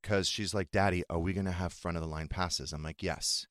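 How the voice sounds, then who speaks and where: A man's voice: deep voice, fairly even intonation, brisk, a bit bright, no echo. A man hosting a talk show, chatting casually in a dry, matter-of-fact way. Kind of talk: casual chat.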